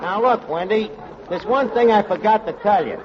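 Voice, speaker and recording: Wisecracking New tavern manager, swooping pitch. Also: male voice, lively intonation, moderate pace, over a noisy crowd. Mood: scheming. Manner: wisecracking, mangled phrases. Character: fast-talking, scheming tavern manager who mangles his words. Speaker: comic actor in a radio comedy. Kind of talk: 1940s radio comedy acting. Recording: dull, noisy vintage radio recording.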